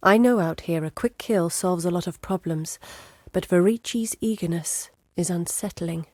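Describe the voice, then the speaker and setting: A woman playing an educated, curious, guarded map-maker in exile in a video game, speaking in a measured, earnest, articulate way. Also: fairly low pitch, a little mellow, no echo, clean studio sound, lightly acted. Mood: wry, skeptical. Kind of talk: scripted video game character dialogue. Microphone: close studio mic.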